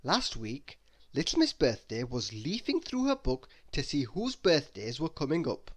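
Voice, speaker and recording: A storybook reader narrating a children's tale aloud with expressive emphasis. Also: mid-range voice, unhurried.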